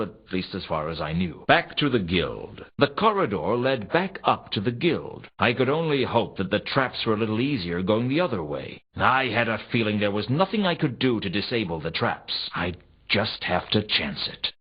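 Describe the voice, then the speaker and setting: Wry, world-weary noir gumshoe for a video game: deadpan but widely swooping delivery, slightly dull compressed audio. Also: male voice, lowish voice, slightly rough. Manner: deadpan, sardonic, measured musing. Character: weary, wry male private detective in noir fantasy. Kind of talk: scripted noir first-person narration for a video game.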